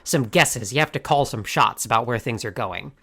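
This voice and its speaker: A man's voice: medium pitch, moderate pace, bright, forceful tone. A man with an ordinary mid-range voice co-hosts a casual podcast. He agrees readily and hedges his points. He stammers over repeated words before finishing a thought. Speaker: podcast co-host. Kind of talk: casual fandom chat.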